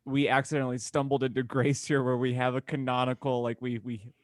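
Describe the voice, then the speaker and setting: A man's voice: mid-range voice, level tone, a little fast, no room sound, clean studio sound. A man co-hosting a comedy podcast in casual, joking chat. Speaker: podcast co-host.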